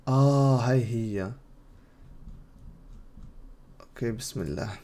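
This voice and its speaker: A man's voice: mid-range voice, brisk, warm tone. A young adult man with a Levantine Arabic accent, casually commenting as he plays a game and relaying its dialogue. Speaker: gaming streamer. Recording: game audio and noise behind voice. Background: video game sounds.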